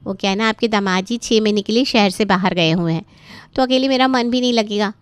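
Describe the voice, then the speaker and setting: A woman's voice: mid-range voice, a little fast, smooth, dry recording, natural voice. A woman voicing a chirpy, cheeky grown-up daughter in a story, with a breezy, persuasive manner. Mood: breezy persuasion. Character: chirpy, cheeky adult daughter and sister-in-law.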